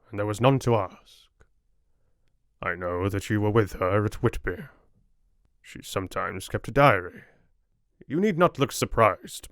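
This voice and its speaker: A man's voice: fairly low pitch, fairly bright tone, dry recording, studio quality. A man voicing a courtly, earnest older foreign doctor with formal, quaint phrasing, as in an audiobook. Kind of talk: audiobook character dialogue.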